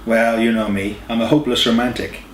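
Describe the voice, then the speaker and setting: A man's voice: medium pitch, flat delivery, brisk, lightly acted. A man voicing a cheerful, lovestruck young boyfriend, a corny romantic, in an audiobook. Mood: playful. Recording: echoey room, distant mic, faint hiss.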